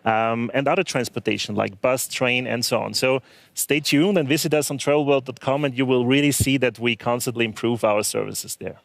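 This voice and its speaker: A man's voice: mid-range voice, steady tone, fairly quick, gravelly, no room sound. A man answering as a company guest on a panel, speaking as an in a hesitant, explanatory way.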